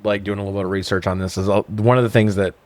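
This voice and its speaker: A man's voice: on the low side, fairly even intonation, rapid-fire, no room sound. A man co-hosting a casual movie-review show, recapping plots in a sweary, irreverent, joking way. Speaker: podcast co-host.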